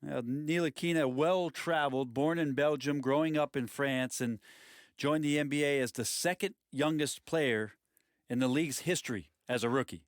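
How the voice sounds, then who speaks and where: A man's voice: on the high side, normal speed, dry recording. A man giving matter-of-fact color analysis for a basketball video game broadcast.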